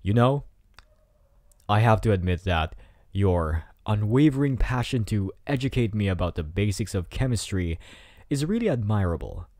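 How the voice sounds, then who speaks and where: Man playing a smug, low-voiced delinquent bad boy. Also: lively intonation, darkish tone, no room sound, studio quality, natural voice. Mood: dismissive, sarcastic. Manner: taunting, smug, drawn-out teasing delivery. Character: cocky teasing teenage delinquent bad boy student. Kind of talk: ASMR roleplay.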